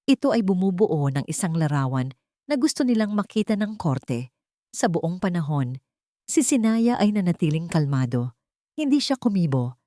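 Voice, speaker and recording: A Filipino woman narrates a dramatic story from a script. Her voice sits on the low side and moves in lively, animated swings of pitch. The sound is dry and close, with little room. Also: no echo.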